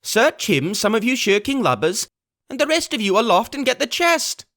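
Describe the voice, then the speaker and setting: A shrill, raging blind pirate. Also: male voice, big pitch swings, normal speed, exaggerated voice. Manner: shrill, shouting commands and curses. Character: blind old male pirate beggar, vicious and raging.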